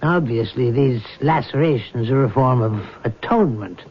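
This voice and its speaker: An actor playing a calm, probing middle-aged family doctor in a radio drama, speaking in a measured, questioning and firm way. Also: male voice, mid-range voice, lightly acted. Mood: measured, grave. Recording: muffled, noisy old radio broadcast.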